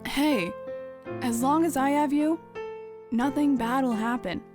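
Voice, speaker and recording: A woman's voice: sits high, measured pace, over music. A woman voicing a sassy young female pegasus pony in an audio story, with a wry, informal delivery. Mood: earnest, affectionate. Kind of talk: scripted audio story acting.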